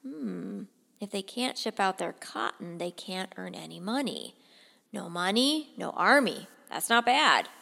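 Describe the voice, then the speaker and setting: A woman voicing a serious, worried male president in a grave, measured read-aloud of a graphic novel. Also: medium pitch, lively intonation, vocal fry, bright, forceful tone, in a large hall, heavily acted. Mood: pondering.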